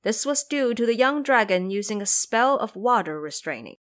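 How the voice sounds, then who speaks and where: A woman's voice: fairly high pitch, lively intonation, moderate pace. Woman narrating a fantasy tale dramatically. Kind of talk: scripted dramatic storytelling narration.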